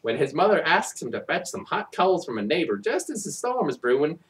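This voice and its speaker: A man's voice: a little high, very animated, brisk, distant mic. A man giving a mock-dramatic storybook reading with sardonic, profane asides in a theatrical delivery. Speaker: comic storybook reader. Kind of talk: mock-dramatic reading with ad-libbed crude commentary.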